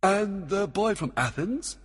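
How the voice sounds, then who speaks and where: A man voicing a commanding, hot-tempered fairy king in a storybook, with booming, imperious delivery. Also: lively intonation, takes it slowly, slightly rough, exaggerated voice. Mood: eager.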